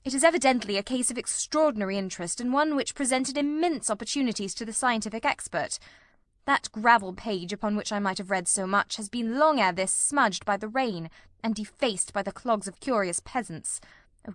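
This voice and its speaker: A woman's voice: on the high side, animated, a little fast, no room sound, clean studio sound, natural voice. A woman voicing a keen, probing male detective in an audiobook, with a formal, incisive and inquisitive manner. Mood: excited, impatient. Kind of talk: audiobook character reading.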